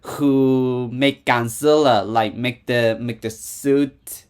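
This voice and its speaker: A man from Hong Kong with a Cantonese accent, a native Cantonese speaker hosting a reaction video, talking in halting English full of fillers and restarts. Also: mid-range voice, fairly even intonation, a little mellow, clean tone. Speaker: reaction channel host. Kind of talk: casual reaction commentary.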